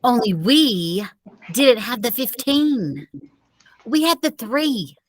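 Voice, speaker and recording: Bossy teen head cheerleader played by a woman, higher-pitched, very expressive, exasperated audiobook scolding. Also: takes it slowly, dry recording, heavily acted. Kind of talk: audiobook character dialogue.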